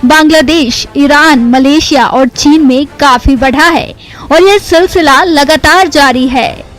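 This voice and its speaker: Slow, high-pitched female news narrator. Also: fairly bright tone, low-quality recording. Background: faint background music.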